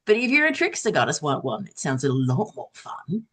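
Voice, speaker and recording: A woman writer in casual, reflective panel talk, encouraging and animated in manner. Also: low voice, normal speed.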